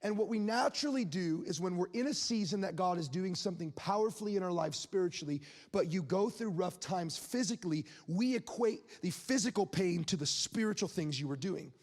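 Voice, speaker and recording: A man's voice: high-pitched, lively intonation, fairly quick, hardly any pauses, darkish tone, long reverb tail. A man in his late twenties preaching a sermon, speaking candidly and conversationally, with laughs and asides to the crowd. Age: 20s.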